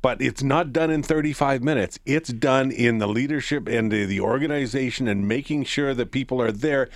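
A man's voice: medium pitch, moderate pace, no echo. Male morning radio co-host, dry sound. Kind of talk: casual radio chat.

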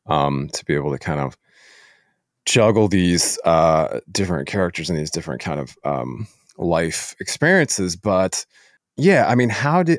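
Low-voiced man hosting, very dry sound. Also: dry recording. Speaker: podcast host, interviewer. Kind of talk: casual interview chat.

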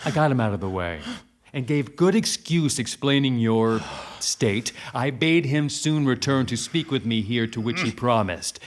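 An actor playing a scheming, two-faced soldier villain in a Shakespearean tragedy, speaking in an insinuating, urgent, theatrical manner. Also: male voice, medium pitch, lively intonation, moderate pace, close to an everyday voice. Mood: coaxing, goading. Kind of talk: Shakespearean tragedy acting in modernized English.

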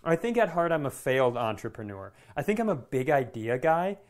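A man who works as a comedian, co-hosting a podcast with animated, exaggerated, sweary storytelling in casual banter. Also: mid-range voice, brisk.

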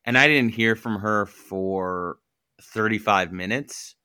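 A man with a lowish but bright, clear voice chats as a podcast co-host. He agrees readily and restarts his sentences often. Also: takes it slowly, dry recording, studio quality. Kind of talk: casual chat.

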